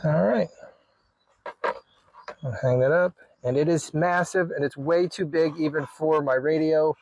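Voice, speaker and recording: A man's voice: fairly high pitch, steady tone, measured pace, darkish tone, no echo, rough recording. A man narrating a hands-on DIY vlog in an informal way, thinking aloud as he works. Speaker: video creator showing a DIY radio install.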